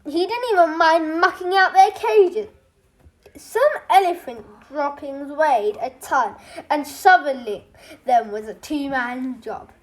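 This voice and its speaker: Slow, high-pitched storybook reader. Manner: halting read-aloud with stumbles and self-corrections. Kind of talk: children's audiobook reading, scripted narration.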